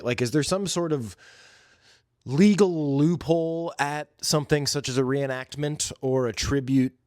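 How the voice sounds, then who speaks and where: A man's voice: mid-range voice, no echo, clean studio sound. A man hosting a solo podcast, talking in a casual, rambling, slangy and conversational way. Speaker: podcast host.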